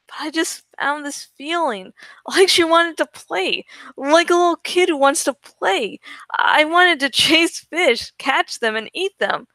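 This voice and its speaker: Woman doing an expressive audiobook read, bright voice, takes it slow, dry close sound. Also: high-pitched, no echo. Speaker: amateur audiobook reader. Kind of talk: expressive first-person story reading.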